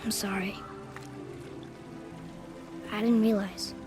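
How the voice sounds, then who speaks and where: A man's voice: high-pitched, flat delivery, takes it slowly, over music. A young male actor playing a sullen, curious boy in a video game, with an informal, snarky way of talking. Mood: subdued, curious. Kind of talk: video game cinematic acting. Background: ambient noise.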